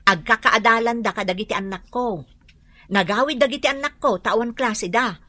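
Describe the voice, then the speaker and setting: A woman's voice: on the high side, normal speed, bright, forceful tone, no room sound. An actress playing a gossiping middle-aged village woman, insistent about her news. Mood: gossipy. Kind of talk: radio drama acting.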